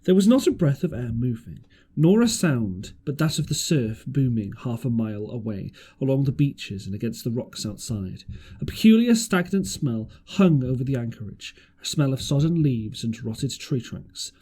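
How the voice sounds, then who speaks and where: Male amateur audiobook reader, storybook narration, highly varied intonation, mid-range pitch, occasional stumbles. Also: very animated, normal speed, dark tone, breathy edge. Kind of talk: scripted storybook narration of an adventure novel.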